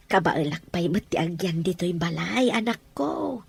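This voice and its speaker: A woman with an Ilocano accent playing a caring mother who speaks gently to her daughter and chides her firmly. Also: on the low side, expressive, takes it slowly, vocal fry, slightly rough, no echo, full character voice. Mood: chiding. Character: caring mother of a soon-to-be bride.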